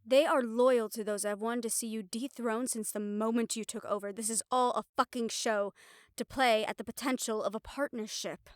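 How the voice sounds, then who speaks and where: A woman's voice: on the high side, steady tone, normal speed, no room sound, studio quality, natural voice. A woman playing a mafia boss's blunt, exasperated, loyal girlfriend in an audio roleplay, swearing and sarcastic. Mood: angry, sarcastic. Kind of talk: audio roleplay acting to the listener.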